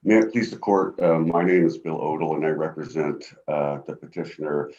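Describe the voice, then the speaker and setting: A man with a booming voice arguing an appeal as an attorney over a video call from home, halting and full of fillers. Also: fairly even intonation, darkish tone, dull audio. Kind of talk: appellate oral argument. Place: home office.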